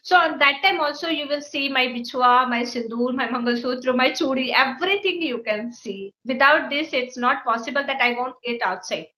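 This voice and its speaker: High, bright-voiced young Indian woman. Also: moderate pace, hardly any pauses. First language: Maithili. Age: 20s. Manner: chatty, often says "ma'am", laughs while talking. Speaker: schoolteacher. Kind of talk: casual conversation practice.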